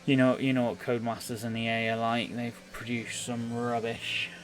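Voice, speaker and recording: A man giving live play-by-play commentary on an online racing game, a little halting as he thinks through the action. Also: mid-range voice, completely flat delivery, a little hiss or hum, rough recording.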